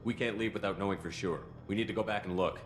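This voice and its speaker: A male actor as a wary, resolute military commander in a sci-fi game. He talks quickly and bluntly in a low voice, with music clearly behind him. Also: close to an everyday voice. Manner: blunt, demanding. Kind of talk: video game cutscene acting.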